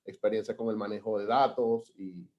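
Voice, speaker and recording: A man's voice: on the low side, steady tone, moderate pace, a little mellow, highs cut off. A man from Venezuela with a Venezuelan Spanish accent, presenting on a video call webinar, talking with many hesitations and restarts. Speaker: government data analyst presenting.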